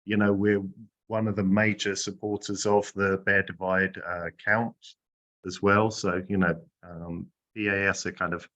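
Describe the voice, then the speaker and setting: A man with a very deep voice and a bright, forceful tone gives closing thanks as host of a meeting. His talk is loose and filled with "you know". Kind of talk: closing remarks on a video call.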